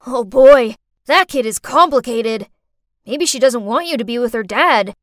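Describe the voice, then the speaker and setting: A laid-back young man giving casual advice in a bright, lively voice, as a woman reads him. The sound is close and dry, with no room around it. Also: on the high side, measured pace, dry recording. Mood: concerned. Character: easygoing young male friend on the phone.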